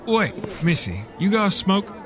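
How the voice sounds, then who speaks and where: A man's voice: sits high, very animated, measured pace. A man playing a breezy, drunken nightclub goer in an audio drama, with a loose, swaggering delivery. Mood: breezy, helpful. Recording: music and street crowd behind.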